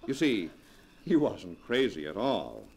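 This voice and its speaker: A man's voice: fairly low pitch, wildly swooping pitch, slow pace, a little mellow, a little hiss or hum, exaggerated voice. A man acting a suave, sardonic husband from a sinister family in a 1940s radio drama, theatrical and mockingly casual. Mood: sardonic, amused.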